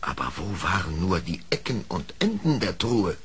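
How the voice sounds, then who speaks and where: A man's voice: low voice, very animated, moderate pace, over a noisy crowd. A man narrating an audio play as a dramatic storyteller, reading from a script. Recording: faint hiss of old record.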